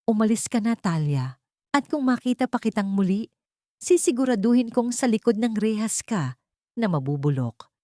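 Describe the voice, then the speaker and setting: A woman's voice: a little high, big pitch swings, moderate pace, mellow, no room sound, clean studio sound, pitched above the performer's own voice. Woman voicing a bitter, threatening enraged man. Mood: furious, hateful. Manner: hateful, threatening.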